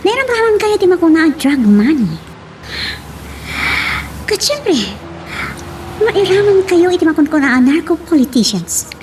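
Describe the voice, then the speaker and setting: A woman's voice: extremely high pitch, sing-song, unhurried, long pauses, a little mellow, slight background noise, heavily acted. An actress with an Ilocano accent playing an earnest, insistent young policewoman in a radio drama. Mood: accusing, insistent.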